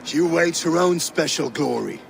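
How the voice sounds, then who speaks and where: A man voicing a loyal Viking ally and battle planner in a game cutscene, speaking in an earnest, steady way. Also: on the high side, normal speed, creaky, faint music behind. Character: loyal male Viking ally, calm strategist. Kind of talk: video game cutscene acting.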